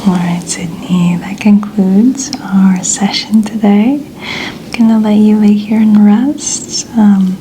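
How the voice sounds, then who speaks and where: A woman delivers a soft-spoken ASMR roleplay as a soothing chiropractor. Her voice is breathy and mostly whispered, at a medium pitch, and she takes her time with gentle reassurance. Clear ambient noise sits behind her. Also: steady tone, slow. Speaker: ASMR creator playing a chiropractor.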